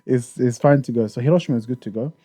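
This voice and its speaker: A man's voice: mid-range voice, quick pace, warm tone, no room sound. A man raised in the UK, talking casually as a podcast guest about touring in Japan, with many restarts and fillers. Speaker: guest, Japan tour organizer.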